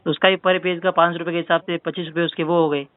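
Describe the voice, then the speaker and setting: A man's voice: fairly high pitch, little pitch movement, fast, clear, resonant tone, dry recording. A man making an insistent complaint over the phone, arguing his point again and again. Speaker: caller filing a complaint with a helpline. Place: telephone call. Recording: narrow-band phone call recording.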